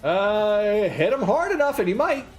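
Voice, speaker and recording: Higher-pitched man running a tabletop game. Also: very animated, normal speed, faint music behind. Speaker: game master.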